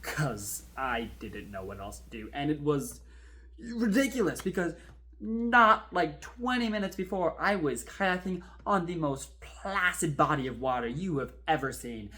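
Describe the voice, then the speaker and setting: A man performs a talkative kayak rescuer telling a horror story as if to a friend. He is wry and informal, halting for suspense as he recounts a strange ordeal in a drama monologue. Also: on the high side, sing-song, bright, forceful tone, exaggerated voice. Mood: exhilarated. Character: chatty kayak rescuer recounting an eerie near-drowning.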